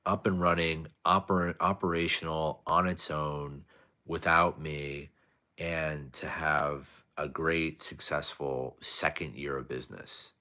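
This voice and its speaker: Young male streamer, very low soft voice, relaxed low-energy delivery. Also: slow. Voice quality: soft-spoken. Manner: tired, low-energy, laid-back. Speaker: live stream host. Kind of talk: casual live stream chat reading viewer comments.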